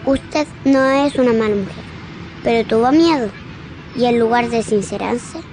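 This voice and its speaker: Woman acting a high-voiced, solemn little girl. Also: moderate pace, darkish tone, a little hiss or hum, close to an everyday voice, low-quality recording. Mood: accusing, solemn. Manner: formal, assertive declarations. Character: blind little girl, earnest, solemn, unafraid truth-teller. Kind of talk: radio drama acting.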